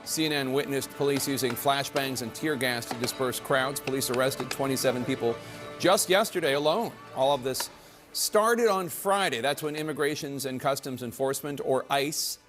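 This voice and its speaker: A man's voice: medium pitch, moderate pace, fairly bright tone, soft background music. A man reading scripted news narration as a reporter.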